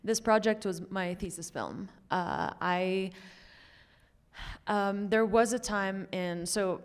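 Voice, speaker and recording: A woman's voice: mid-range voice, lively intonation, slow pace, clear, resonant tone. A woman from Lebanon in her thirties, a filmmaker answering questions in a reflective, hesitant way with many fillers. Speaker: filmmaker guest. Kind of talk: conversational Q&A answers.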